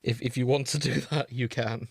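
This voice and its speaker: A man's voice: mid-range voice, fairly even intonation, moderate pace, gravelly, breathy edge, no echo. Wry man in a dry recording. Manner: wry, teasing, sweary. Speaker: podcast host and editor. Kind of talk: casual banter.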